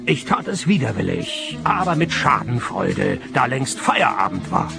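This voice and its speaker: Gravelly, deadpan private detective. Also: male voice, mid-range voice, unhurried, over music, heavily acted. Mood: dry, sardonic. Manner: deadpan, laconic. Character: dry, sardonic, world-weary male private detective. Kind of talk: radio play acting with first-person narration.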